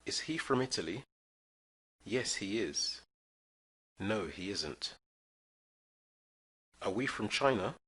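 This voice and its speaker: A man teaching English, reading short phrases slowly and clearly for listeners to repeat. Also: on the low side, expressive, a bit bright. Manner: clear drill-style reading of phrases for repetition. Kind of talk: slow scripted language lesson.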